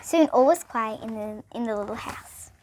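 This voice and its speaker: A woman's voice: fairly high pitch, moderate pace, darkish tone, a little hiss or hum. A young girl reading a children's bedtime story aloud, expressively and with small stumbles. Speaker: storybook reader.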